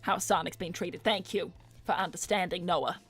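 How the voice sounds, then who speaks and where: Suave low-voiced seductive hedgehog businesswoman played by a woman. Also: moderate pace, a bit bright, breathy edge, faint music behind. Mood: smug, pleased. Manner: suave, flirtatious, coolly condescending. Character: seductive, smug female hedgehog celebrity businesswoman in red. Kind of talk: improvised in-character livestream roleplay.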